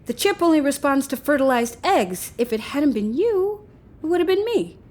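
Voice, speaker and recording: A flippant, very high-voiced young-sounding lover. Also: female voice, big pitch swings, moderate pace, darkish tone. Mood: defensive, flippant. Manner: breezy, casual, needling. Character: flippant, unrepentant woman arguing with her lover. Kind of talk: naturalistic audio drama acting.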